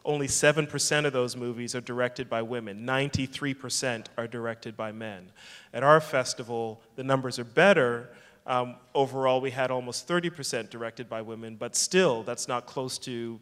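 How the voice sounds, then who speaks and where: A man speaking at a public fireside chat, thoughtful and measured, who often restarts a phrase before he finishes it. His mid-pitched voice carries a long reverb from the hall around him. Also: a bit bright. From England. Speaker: film festival director.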